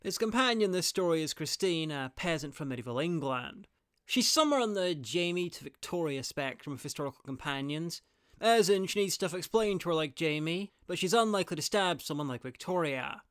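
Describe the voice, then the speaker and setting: A man's voice: on the high side, expressive, fairly quick, fairly bright tone, clear, resonant tone, no echo. A man narrating a scripted video review as host, with dry, wry asides. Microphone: close, dry voice-over mic.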